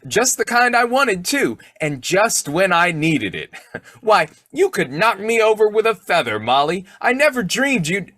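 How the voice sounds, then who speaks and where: A man playing a blustery, boyish, quick-tempered bumbling husband in old-time radio comedy, with a folksy, slangy, argumentative way of talking. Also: sits high, very animated, sharp tone, dry recording, exaggerated voice. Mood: delighted.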